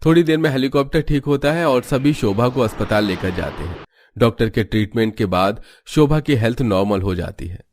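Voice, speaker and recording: A man's voice: medium pitch, animated, a little fast, a little mellow. A man delivering scripted narration as the storyteller of a cartoon tale.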